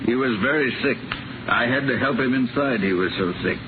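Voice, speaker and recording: An actor plays a formal, matter-of-fact doctor in a vintage radio drama. His mid-pitched voice is measured and terse. The recording is thin, with heavy hiss. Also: lightly acted. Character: matter-of-fact male physician testifying. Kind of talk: 1950s radio drama acting. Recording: noisy, narrow-band 1950 radio recording.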